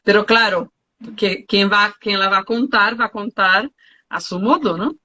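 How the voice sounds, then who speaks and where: A woman hosting a casual interview, expressive and exclamatory, thinking aloud with fillers. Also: mid-range voice, measured pace, lo-fi sound. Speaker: host.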